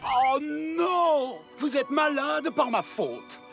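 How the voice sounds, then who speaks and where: A man's voice: very high voice, animated, drawn-out words, vocal fry, heavily acted. A man voicing a scheming, self-pitying dragon-like trickster in a French cartoon dub, melodramatic, mock-polite and wheedling. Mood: sly, mock innocent. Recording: loud background music.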